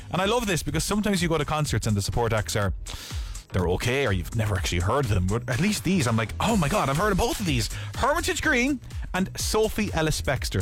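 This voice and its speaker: A young Irish man hosting breakfast radio, chatty, upbeat and rambling, his voice a little croaky. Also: a little high, fairly bright tone. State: a bit croaky. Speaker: radio host. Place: radio studio.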